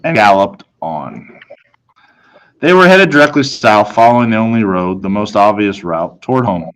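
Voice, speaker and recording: A man with a fairly low voice narrates a storybook for children. He reads dramatically and leaves deliberate pauses for effect. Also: lively intonation, poor audio. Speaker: storybook reader.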